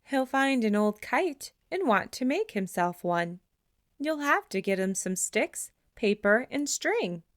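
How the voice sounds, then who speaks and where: A woman reading a children's picture book aloud in an expressive way, slowing down for the closing lines. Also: fairly high pitch, dry recording, clean studio sound. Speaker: storybook reader. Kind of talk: children's read-aloud narration.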